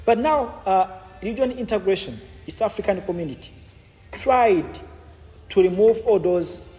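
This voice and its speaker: Male guest, high voice, explains with constant restarts; reverberant hall sound. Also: moderate pace, darkish tone. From Uganda. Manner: repetitive, many false starts and restarts. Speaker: podcast guest. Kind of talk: explanatory interview answers.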